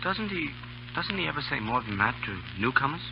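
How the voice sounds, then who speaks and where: An actor in an old radio drama playing an eager, polite, naive young lieutenant, earnest and deferential. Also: male voice, mid-range voice, big pitch swings, fairly quick, creaky, a little hiss or hum. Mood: uncertain. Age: young adult. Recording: muffled, humming old radio broadcast.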